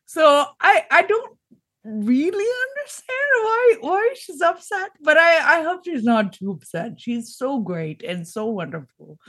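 A woman's voice: sits high, big pitch swings, measured pace, fairly bright tone. A woman player introducing her character in a tabletop game, gushing and hesitant.

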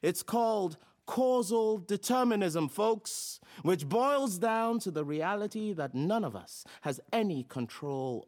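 A forceful philosophy professor, super emphatic and blunt, voice goes all over, audio drama. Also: mid-range voice. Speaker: actor playing a professor.

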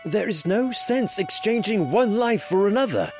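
A man playing a conflicted, cautious and wry nobleman in an audio drama, with measured courtly phrasing and a defensive edge. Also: high-pitched, animated, soft background music.

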